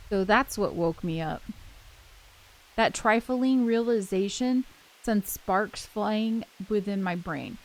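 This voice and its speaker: A woman's voice: medium pitch, poor audio. A woman hosting a let's play, switching between casual chat and reading a visual novel's text aloud. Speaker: host of a gaming channel. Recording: music playing behind the voice. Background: game soundtrack music.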